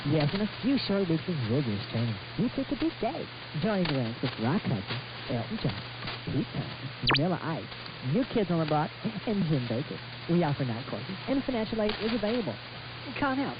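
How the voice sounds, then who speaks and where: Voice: mid-range voice, very animated, fairly quick, natural voice. A performer playing an eager, exaggerated salesperson in a parody radio advertisement, on a shortwave relay. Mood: eager, salesy. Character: mock radio commercial announcer, eager salesperson. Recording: very hissy muffled shortwave relay.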